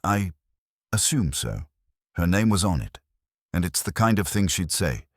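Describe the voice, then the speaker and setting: A man voicing a big, gruff, resentful male restaurateur with a curt manner, as in an audiobook. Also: deep voice, sing-song, measured pace, no room sound, clean studio sound, heavily acted. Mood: bitter. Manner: curt, snapping. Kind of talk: audiobook character dialogue.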